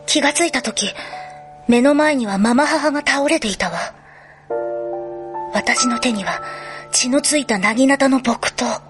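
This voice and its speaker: A troubled schoolgirl acted by a woman in a radio drama, with a high, ladylike voice. She speaks in an emotional, confessional way over clearly audible music. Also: a little slow, long pauses. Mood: haunted. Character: troubled, polite schoolgirl fearing her violent second self. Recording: music behind the voice.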